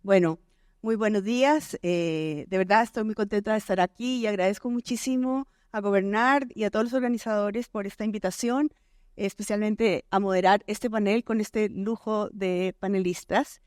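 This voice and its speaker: A middle-aged woman from Colombia with a Colombian accent softened by decades in Chile, moderating a conference panel on stage with frequent pauses and restarts. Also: medium pitch, dry recording, clean studio sound. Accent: Colombian, softened by years in Chile. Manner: hesitant, many fillers and restarts.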